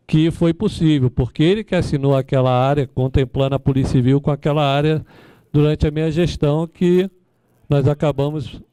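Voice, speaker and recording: Brazilian guy doing an informal endorsement speech, smooth kinda high voice, pretty dry sound. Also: warm tone, no echo. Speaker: former police administration official speaking at a candidate forum.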